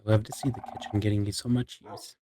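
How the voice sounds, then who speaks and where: Man, low register, playful casual gamer talk among friends. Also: flat delivery, fast talker, warm tone, no echo. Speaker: player in a Minecraft roleplay group. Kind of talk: casual gaming chat.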